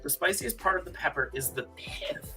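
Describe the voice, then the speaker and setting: A man's voice: on the high side, normal speed, pushed and bright, soft background music. A man hosting a trivia game on a casual live stream.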